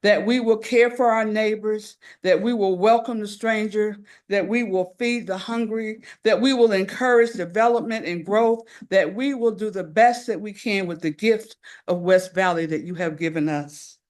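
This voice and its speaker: A woman pastor leads the room in prayer. Her phrases return again and again in an even, measured cadence. She speaks as one addressing a gathered assembly. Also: medium pitch. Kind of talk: opening prayer. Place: city council chamber.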